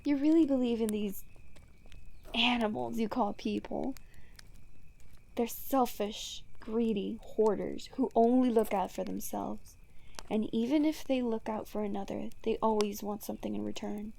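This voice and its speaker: A woman's voice: a little high, steady tone, creaky, dark tone. A woman playing a taunting, seductive supervillain in an ASMR roleplay monologue, mocking and theatrical. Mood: smug, taunting. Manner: mocking, teasing, theatrical, unhurried, breaking into whispers. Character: taunting female supervillain, smug, seductive, bitter toward heroes.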